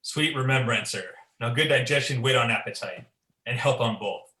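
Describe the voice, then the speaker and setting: A man's voice: mid-range voice, normal speed, pushed and bright, close to an everyday voice. A young man playing a haunted, guilt-stricken king in a Shakespearean radio play, delivering verse dramatically. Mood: courtly. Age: young adult. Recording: roomy, with faint noise.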